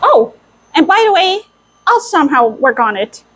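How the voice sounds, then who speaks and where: A woman's voice: sits high, big pitch swings, normal speed, fairly bright tone. A woman voicing a playful, clumsy female cartoon mouse who is an apprentice sorceress, chatting to herself in a role-play video. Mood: chatty, musing. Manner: chatty, thinking aloud in short exclamations. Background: faint music.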